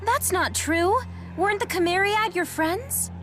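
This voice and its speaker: A woman's voice: very high voice, a little slow, a bit bright, faint music behind. A woman voicing an earnest, helpful young girl companion in a video game, explaining things plainly. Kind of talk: scripted game dialogue.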